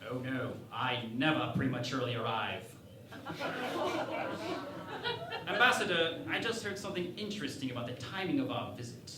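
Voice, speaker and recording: An actor playing a vain, cocky, clueless starship captain in a sci-fi parody radio play, with a grandiose, self-assured delivery. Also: male voice, medium pitch, animated, moderate pace, long pauses, a crowd in the background, lightly acted. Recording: echoey room, distant mic, faint noise.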